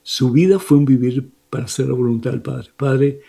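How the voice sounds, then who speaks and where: A man's voice: mid-range voice, moderate pace, gravelly, warm tone, husky. A man, a priest on a radio program, explaining church teaching in an emphatic, questioning way. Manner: explanatory, emphatic, asks listeners "¿sí?".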